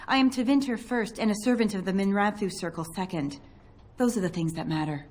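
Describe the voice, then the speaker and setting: An actress playing a haughty mage enforcer in a video game, cold, disdainful and commanding. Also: female voice, medium pitch, brisk, a little mellow, natural voice. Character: haughty female mage enforcer from a slaver empire. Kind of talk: video game cutscene acting.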